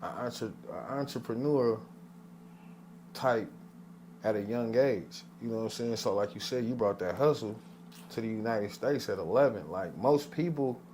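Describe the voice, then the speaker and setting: A man's voice: on the low side, moderate pace, darkish tone, slight background noise, rough recording. A man hosting a podcast interview in a loose, laid-back way, often saying "man" and "come on". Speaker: podcast host.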